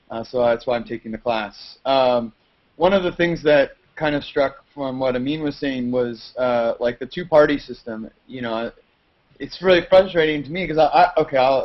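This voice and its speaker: Man, student caller, hesitant rambling question, lo-fi webcam audio. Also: medium pitch. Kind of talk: casual question on a video call. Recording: muffled video-call audio.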